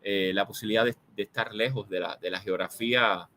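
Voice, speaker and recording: A man's voice: fairly low pitch, steady tone, fairly quick, pushed and bright, a little hiss or hum. A man with a Cuban accent speaking as an interview guest, reflective and discursive, emphatically building lists and repeating words. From Cuba. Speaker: interview guest, magazine editor. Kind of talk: conversational political and cultural reflection.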